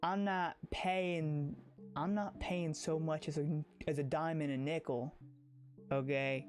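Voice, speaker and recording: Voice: medium pitch, fairly even intonation, slow. Rambling livestreamer over background music. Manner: rambling, repeats phrases, restarts sentences. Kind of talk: casual livestream chat, rambling commentary.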